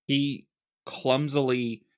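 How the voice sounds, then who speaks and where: A man's voice: mid-range voice, level tone, very slow. A man running a tabletop game as game master, narrating and speaking in quotes for the people his players meet. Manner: plays non-player characters in quoted lines.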